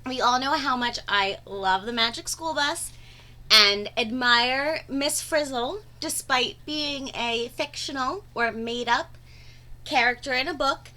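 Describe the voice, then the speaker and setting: A woman reading a storybook aloud to children in an expressive, dramatic way, stretching words for emphasis. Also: sits high, slow pace.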